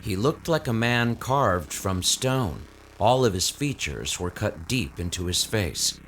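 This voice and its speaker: A man's voice: on the low side, expressive, takes it slowly, a bit bright, a little hiss or hum. A man reading scripted narration for an audio drama. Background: faint music.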